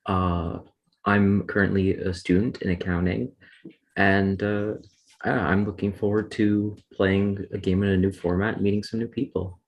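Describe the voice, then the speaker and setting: A person in their twenties from Montreal, speaking slowly and hesitantly on a video call. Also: male voice, low-pitched, little pitch movement. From Montreal, Canada. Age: 20s. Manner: slow, hesitant. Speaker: accounting student. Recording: dull lo-fi call audio.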